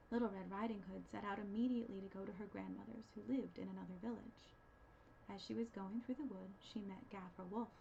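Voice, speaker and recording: A woman reading scripted storybook narration as a librarian storyteller, with an expressive delivery. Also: mid-range voice, vocal fry. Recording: dull, slightly noisy recording.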